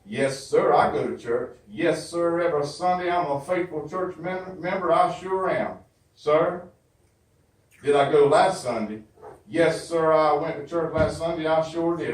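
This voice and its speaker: Stammering Southern man in a very echoey hall. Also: on the high side. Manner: folksy, stammering, repeats himself. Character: flustered, excuse-making churchgoer on the phone. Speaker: church comedian. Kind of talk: comedy monologue, one side of a phone call. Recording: very echoey hall, distant microphone.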